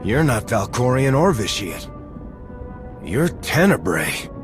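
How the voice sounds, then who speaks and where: A man's voice: mid-range voice, sing-song, takes it slowly. An actor playing a steadfast, defiant soldier in a video game, a man with a firm, dry delivery over music. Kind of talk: dramatic video game voice acting. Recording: music behind the voice.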